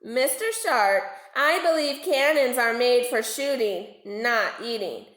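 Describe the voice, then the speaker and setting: A woman's voice: fairly high pitch, animated, takes it slowly, fairly bright tone, echoey room. A woman reading the part of a plucky little storybook narwhal in a teasing, mock-helpful way. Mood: cheeky. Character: plucky, cheeky little narwhals taunting a shark.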